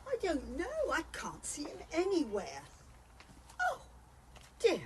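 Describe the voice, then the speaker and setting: A woman's voice: sits high, huge pitch swings, slow pace, over a noisy crowd, full character voice. A woman playing a fussy, scandalised, prudish British club lady in an amateur radio comedy. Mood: shocked, disapproving. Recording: faint background noise, home recording.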